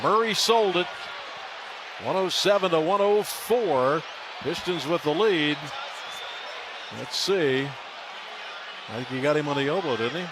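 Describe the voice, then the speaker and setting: Guy doing radio basketball play by play, kinda high voice, emphatic calls, loud arena noise behind him. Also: animated, unhurried, long pauses. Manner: emphatic, calling out scores and plays. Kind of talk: live radio play-by-play commentary. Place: large indoor basketball arena. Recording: noisy arena broadcast. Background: loud arena noise, bouncing basketball.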